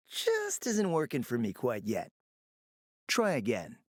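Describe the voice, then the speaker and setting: A man's voice: medium pitch, no echo, clean studio sound, full character voice. An actor playing a smug, flirtatious, decadent gourmand fixer in a video game, speaking in a pompous, theatrical, condescending way and savoring his words. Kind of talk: video game character acting.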